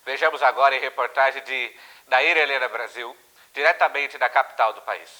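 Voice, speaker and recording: A man's voice: medium pitch, a little fast, pushed and bright, close to an everyday voice, rough recording. A man playing a serious television news anchor, reading formal, declarative bulletins in a mock newscast. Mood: solemn.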